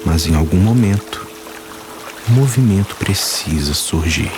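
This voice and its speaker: A man guiding a meditation, speaking calmly and slowly in short phrases with long pauses. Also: sits low. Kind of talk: guided meditation. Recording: voice-over with music and water sounds. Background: soft music, rain and running water.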